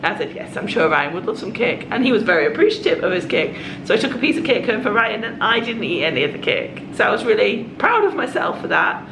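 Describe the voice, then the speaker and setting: Croaky woman chatting, echoey room. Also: medium pitch, big pitch swings. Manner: chatty and confiding, with quick asides. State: hoarse from a cold. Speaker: vlogger. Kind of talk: casual vlog chat. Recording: echoey room with background noise.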